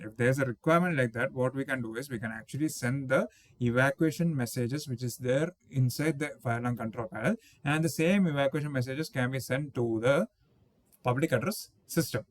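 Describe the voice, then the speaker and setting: A man's voice: medium pitch, no echo. A man in his thirties teaching an engineering lesson over slides, explaining step by step and often repeating and rephrasing himself with "okay" and "uh". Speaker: engineering instructor. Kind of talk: slide-based technical lecture. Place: indoors, voice-over on slides with small webcam inset.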